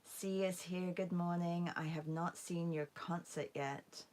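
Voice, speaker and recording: A woman with a hoarse, croaky voice after a concert, chatting casually with viewers as she hosts an art livestream. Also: lowish voice, steady tone, a little slow, darkish tone. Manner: chatty, greets viewers by name. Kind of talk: casual livestream chat while painting.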